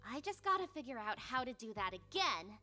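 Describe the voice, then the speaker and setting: Bright, snarky middle school girl voiced by a woman. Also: on the high side, very animated, brisk, faint music behind, heavily acted. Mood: smug, scheming. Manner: sharp, snarky. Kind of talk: animated visual novel character acting.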